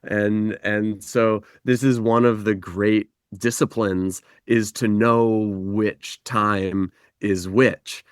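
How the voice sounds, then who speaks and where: A man guests on a podcast, reflective and thoughtful, reaching for images as he explains and moving between slow and quick delivery. His voice sits somewhat low. It is recorded close and dry. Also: little pitch movement, clean tone, no room sound. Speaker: podcast guest, life guide. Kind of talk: reflective conversation.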